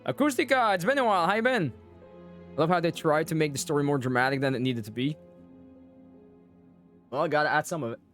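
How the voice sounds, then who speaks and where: A man's voice: a little high, quick pace, long pauses, a bit bright, soft background music. A man live streaming a video game, chatting casually with viewers and commenting on the story. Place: close dry mic, game music behind.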